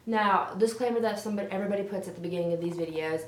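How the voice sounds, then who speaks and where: A young woman vlogging about beauty products, her voice a little raspy and hoarse as she gets over a sore throat, chatty and frank. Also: mid-range voice, racing. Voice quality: slightly raspy. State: hoarse, recovering from strep throat. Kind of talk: casual product review to camera. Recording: roomy echo.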